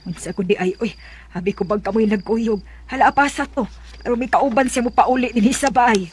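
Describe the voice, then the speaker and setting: A woman's voice: a little high, a little fast, a little hiss or hum, close to an everyday voice. An actress playing a gossipy, teasing young female friend in a Cebuano Bisaya radio drama. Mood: curious, teasing. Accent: Cebuano Bisaya, Philippines.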